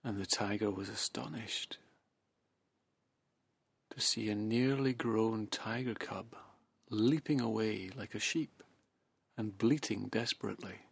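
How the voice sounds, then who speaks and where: A man guiding a hypnosis session, speaking softly and slowly in a half-whispered, soothing delivery. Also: fairly low pitch, vocal fry, husky, no room sound. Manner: soft, slow, half-whispered. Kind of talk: guided hypnosis narration.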